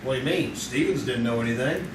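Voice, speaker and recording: A man's voice: mid-range voice, fast, close to an everyday voice. Man acting a skeptical wisecracking crime photographer, roomy stage. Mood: businesslike. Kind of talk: old-time radio drama acting. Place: community theater stage. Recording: roomy, noisy, distant live stage recording.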